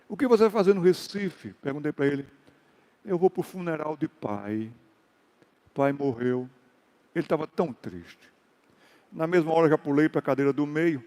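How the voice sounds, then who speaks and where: A male pastor telling stories in a sermon, acting out dialogue and rising into loud exhortations. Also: fairly high pitch, in a large hall. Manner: storytelling with acted dialogue and sudden loud exhortations. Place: church hall.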